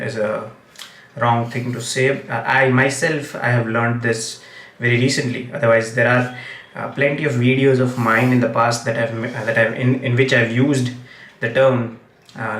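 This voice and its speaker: A man, a Gujarati speaker, hosting a casual commentary livestream and talking in halting, restart-filled sentences. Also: mid-range voice, fairly bright tone. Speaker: livestream host. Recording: roomy, distant mic, faint ambience.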